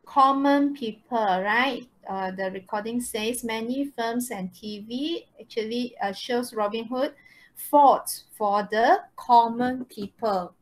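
Woman, teacher, fairly high voice, live online lesson, frequent short check questions. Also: takes it slowly, poor audio. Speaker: English teacher. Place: online video-call lesson.

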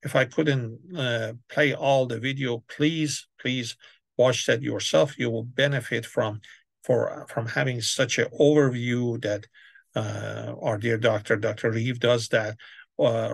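A man's voice: mid-range voice, fairly even intonation, unhurried, creaky, dry recording. A man giving an online church history lecture, hesitant, with many fillers and restarts. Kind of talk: online lecture over slides. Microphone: webcam on a video call.

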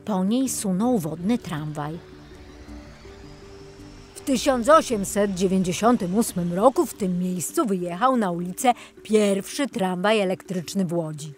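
A woman narrates a children's audiobook at a slow, measured pace. Soft music plays behind her voice as she tells the story. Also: medium pitch, lively intonation.

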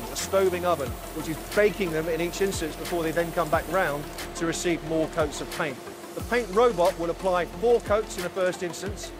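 A man in his forties with a slightly raised pitch walks viewers through a big factory, explaining steps clearly and evenly. His voice is recorded close on a lapel mic, with clear music running underneath. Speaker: factory guide. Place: large factory floor, indoors.

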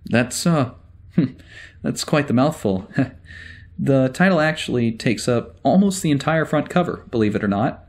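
A man playing a wry, easily exasperated security officer in a sci-fi audio drama, talking in a halting, conversational way. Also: medium pitch, lively intonation. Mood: casual, rambling.

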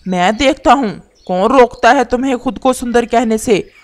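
A woman voicing a sly, flattering, vengeful male owl in a cartoon, mocking and scheming. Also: a little high, normal speed, lightly acted.

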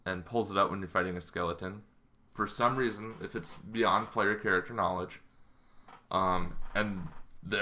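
A man in his thirties giving a casual, rambling explainer to camera, halting as he goes, in a small bedroom. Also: low-pitched, bright, forceful tone. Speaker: host of a tabletop roleplaying channel.

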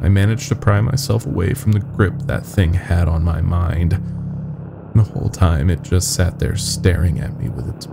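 Man playing a frightened young lab worker, low creaky voice. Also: normal speed, heavy background noise. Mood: shaken, urgent. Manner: nervous, urgent, confessional. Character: frightened young male lab worker confessing a horror, foul-mouthed.

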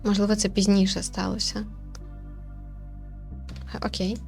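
A woman's voice: medium pitch, flat delivery, unhurried, creaky, a little mellow. A woman hosting a casual let's play, commenting informally and playfully as she nitpicks. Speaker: gaming host, player commenting. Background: game music.

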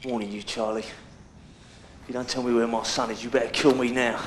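A man's voice: medium pitch, flat delivery, moderate pace, close to an everyday voice. An actor playing a desperate, furious London ex-gangster father in a video game, intense and defiant. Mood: bitter. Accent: London English. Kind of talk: video game cutscene acting. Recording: noisy, echoey room.

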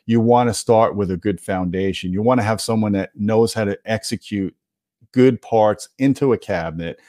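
A man with a fairly low voice hosts a gear explainer, recorded dry with very little room sound. He talks straight to viewers in a conversational, opinionated way, leaning on his key points. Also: moderate pace, warm tone, no echo. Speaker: host of an audio gear video channel. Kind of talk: explanatory product commentary to viewers.

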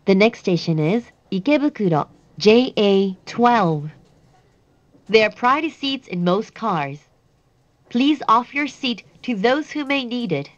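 A woman giving clear recorded English announcements for a train. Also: a little high, lively intonation, takes it slowly, no echo. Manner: clear, evenly paced announcer delivery. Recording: dull dry sound over train speakers.

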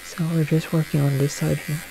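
A young man doing a soft-spoken, often whispered ASMR dentist roleplay, halting and gently reassuring, speaking close through a face mask. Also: on the high side, little pitch movement, vocal fry, noisy background. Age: young adult. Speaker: ASMR performer playing a dentist. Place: small plain room indoors. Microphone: close to the mic, facing camera, through a face mask.

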